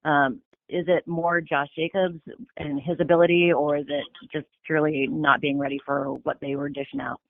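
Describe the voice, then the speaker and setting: A man's voice: fairly high pitch, flat delivery, normal speed, vocal fry. A man working as a sports reporter, asking a question on a press conference call. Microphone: phone on conference call. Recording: narrow dry phone line.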